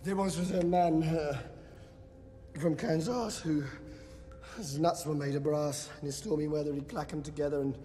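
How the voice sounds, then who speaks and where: An actor playing a terrified young English captive with an English accent, stammering pleas and forcing out jokes. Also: male voice, on the high side, steady tone, normal speed, mellow, natural voice. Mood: desperate bravado. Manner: pleading, stammering, then forced jokey bravado. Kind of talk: film drama acting. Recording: reverberant hall with film score.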